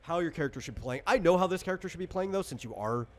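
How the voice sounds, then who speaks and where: A man's voice: a little high, animated, racing. A man casually walking a player through a tabletop game as game master, rambling, explanatory and freely opinionated.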